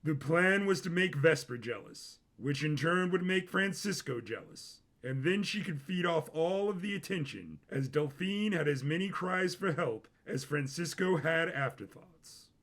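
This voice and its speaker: Creaky, expressive male story narrator. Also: fairly high pitch, measured pace, hardly any pauses. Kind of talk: scripted dramatic story narration.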